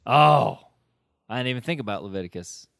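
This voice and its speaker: Animated man hosting a casual interview. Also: mid-range voice, brisk, gravelly, no echo, studio quality. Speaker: podcast host.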